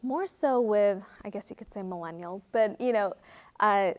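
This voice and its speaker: An earnest woman in her thirties from Fiji talks through her work as an attorney in a relaxed interview. Her pitch sits in an ordinary middle range, and she speaks reflectively, pausing often with "um" and "you know" as she gathers her thoughts. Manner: earnest, reflective, frequent fillers. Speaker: guest, real estate attorney. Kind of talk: interview conversation.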